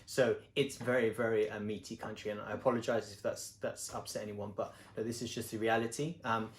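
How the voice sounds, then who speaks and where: A man's voice: on the low side, flat delivery, fairly quick, slight background noise. A man, hosting a casual interview with playful, joking banter. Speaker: host interviewing his partner. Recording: echoey room.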